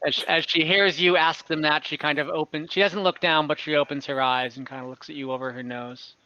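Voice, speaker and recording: A man narrating a tabletop game as game master, conversational and halting as he improvises scenes. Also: fairly high pitch, fairly even intonation, pushed and bright, clean tone, muffled recording. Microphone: close mic, online call.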